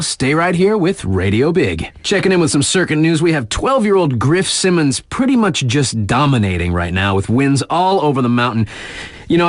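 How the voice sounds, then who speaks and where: A man playing a hip, easygoing radio DJ in a video game, delivering snowboard circuit news with slangy, enthusiastic patter and emphatic drawn-out phrases. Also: fairly high pitch, no room sound.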